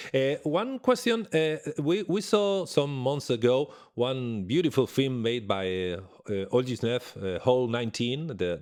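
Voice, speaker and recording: A man's voice: medium pitch, darkish tone. A man interviewing a guest, asking long questions while searching for words. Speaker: interviewer, host.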